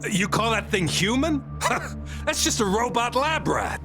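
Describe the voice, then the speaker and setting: An actor playing a mocking, brash henchman in a video game, with a gravelly voice. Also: extremely high pitch, very animated, unhurried, over music, full character voice. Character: sneering thuggish henchman, adult man.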